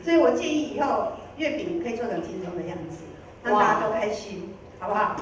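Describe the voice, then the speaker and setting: A woman's voice: mid-range voice, expressive, a little fast. A woman giving informal acceptance remarks as an award winner. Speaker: award winner from a sound production company. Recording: very echoey noisy hall, distant mic.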